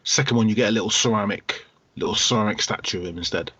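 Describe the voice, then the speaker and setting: A man's voice: fairly low pitch, fast, bright, forceful tone, slightly rough, no room sound. A man co-hosting a podcast and chatting casually about games, repeating phrases hesitantly as he explains. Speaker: podcast co-host. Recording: dull, lo-fi voice recording.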